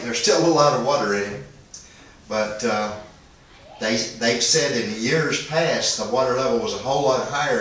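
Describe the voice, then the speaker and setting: A man chatting casually as an amateur radio operator in a long, halting, unhurried monologue. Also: a bit bright. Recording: echoey, distant, faint noise and rumble.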